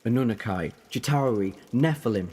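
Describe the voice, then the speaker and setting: A man's voice: medium pitch, animated, normal speed, a little mellow, husky. A man playing an eccentric, defiant, youthful-looking time traveller in an audio drama, rambling in a playful, theatrical way. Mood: wistful, earnest. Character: eccentric, whimsical, defiant time-travelling alien Doctor who looks young.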